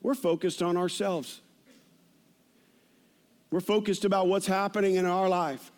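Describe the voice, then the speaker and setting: Man, fifties, high voice, preacher, conversational sermon, informal, repetitive emphasis. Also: steady tone, measured pace, a little mellow. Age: 50s. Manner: informal, repeats phrases for emphasis. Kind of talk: conversational sermon with personal anecdotes.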